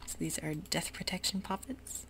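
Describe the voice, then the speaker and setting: A woman whispering softly through a relaxed, rambling ASMR game commentary. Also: on the low side, moderate pace, a little hiss or hum, rough recording. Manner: soft whisper, rambling and easygoing. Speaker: YouTuber playing a game. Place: home room with sprinklers outside.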